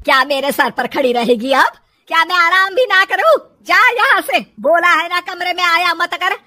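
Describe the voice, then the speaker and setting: A woman's voice: a little high, normal speed, bright, forceful tone, husky, pitched below the performer's own voice. A woman voicing a bad-tempered, bossy older mother-in-law who scolds and taunts sarcastically in a story. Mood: irritated scolding.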